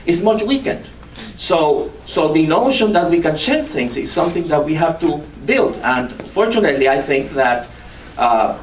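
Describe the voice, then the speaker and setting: A man speaking on a conference panel in a slightly raised voice, measured and full of fillers. He is picked up from a distance in an echoey room, with a faint hiss behind him. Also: fairly high pitch. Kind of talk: panel answer on activism.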